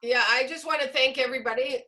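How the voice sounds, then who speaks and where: A woman's voice: high voice, fairly even intonation, fast, pushed and bright. A woman giving informal closing remarks as a workshop presenter on a video call.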